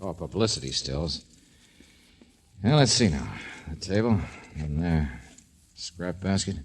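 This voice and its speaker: A man's voice: deep voice, animated, takes it slowly, slightly rough, exaggerated voice. A man playing a cool, wry private detective in a 1940s radio drama, with a dry, clipped, casually wisecracking manner. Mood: musing, wry.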